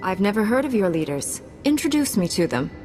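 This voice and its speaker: A woman's voice: mid-range voice, very animated, fairly quick, darkish tone, faint music behind, close to an everyday voice. An actress playing a confident, resolute female Jedi Knight in a video game, speaking in a cool, assured way.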